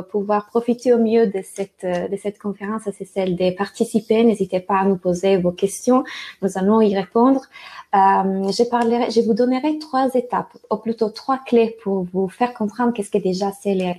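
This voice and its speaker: A woman's voice: mid-range voice, fairly even intonation, moderate pace, warm tone. A woman from Italy with an Italian accent, presenting a live webinar as a life and business coach, hesitating with frequent fillers and restarts.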